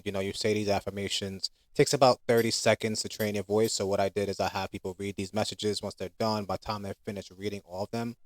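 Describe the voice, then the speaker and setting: A man with a fairly low voice talks casually through a demo of something he built. His delivery is informal and conversational, unscripted rather than read. The sound is close and dry, with little room around it. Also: no echo. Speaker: app creator giving a product demo.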